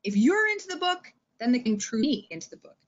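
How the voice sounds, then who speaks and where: High-voiced Canadian woman, dull recording. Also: quick pace. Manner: animated, expressive, digressive. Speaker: teacher librarian and presenter. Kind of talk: informal instructional talk.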